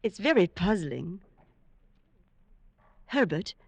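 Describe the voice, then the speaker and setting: An actress playing an affectionate new bride in an expressive, theatrical 1940s radio drama style. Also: female voice, fairly low pitch, slow pace, creaky, dry recording, heavily acted. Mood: puzzled, uneasy. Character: playful, affectionate new bride growing puzzled.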